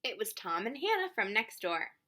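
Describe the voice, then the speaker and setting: A woman reading a story aloud for young children, expressive and speaking to them directly. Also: mid-range voice, moderate pace. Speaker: children's story reader, teacher.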